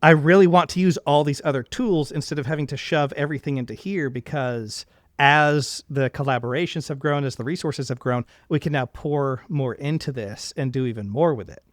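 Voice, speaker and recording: A man's voice: on the high side, brisk, no echo, studio quality. A man hosting a casual interview, reflecting at length and enthusiastically affirming his guest. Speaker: podcast host interviewing a guest.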